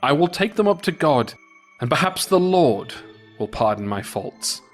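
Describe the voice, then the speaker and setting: A man's voice: a little high, sing-song, unhurried, soft background music, pitched above the performer's own voice. A man voicing a stubborn, sinful soldier in a storybook folk tale, pleading and bargaining.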